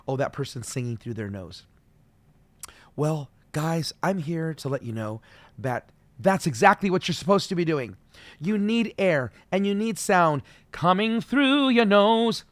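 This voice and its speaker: Man, vocal coach, friendly teaching tone, slightly high voice, dry close mic. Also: no echo. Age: middle-aged. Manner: friendly, explains slowly with demonstrations. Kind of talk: solo video voice lesson.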